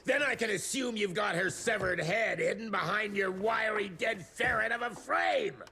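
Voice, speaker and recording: An actor voicing a foul-mouthed, bullying government secretary who shouts and sneers in a gravelly voice. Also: male voice, high voice, animated, moderate pace, slight background noise, exaggerated voice. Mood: ranting. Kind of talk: video game cutscene acting.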